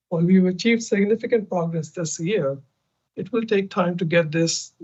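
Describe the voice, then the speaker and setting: A man's voice: sits high, a little mellow. A man working as a company finance executive, reading a scripted earnings report on a conference call. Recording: dull band-limited conference call audio.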